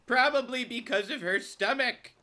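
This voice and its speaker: An actress playing a morbidly merry, eccentric old-timer in a radio drama, theatrical and singsong in delivery. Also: female voice, on the high side, moderate pace, sharp tone. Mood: blunt, excited.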